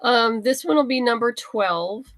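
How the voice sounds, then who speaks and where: A woman's voice: on the high side, a little slow, dry recording, studio quality. A woman chatting casually as an interview guest, talking in an enthusiastic, chatty way. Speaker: guest, writer and comics creator.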